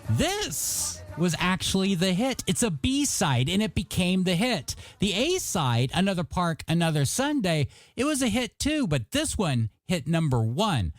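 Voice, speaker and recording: A man's voice: high-pitched, sing-song, unhurried, hardly any pauses, dry recording. A man working as a radio DJ on a classic hits show, with jokey, punchy announcer patter over music. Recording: radio aircheck with music underneath.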